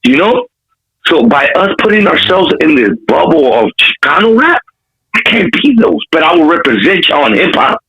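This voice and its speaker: Husky la rapper dude, kinda high voice, swears a lot, casual interview storytelling. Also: male voice, huge pitch swings, normal speed, sharp tone, poor audio. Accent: urban Los Angeles. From Compton, California. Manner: streetwise slang, frequent swearing.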